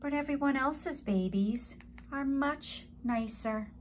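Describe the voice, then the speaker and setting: A woman voicing a gentle, downhearted mother hen in a children's storybook, plaintive and sighing. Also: high voice, slow pace, slight background noise, voice pushed up. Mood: wistful, sad.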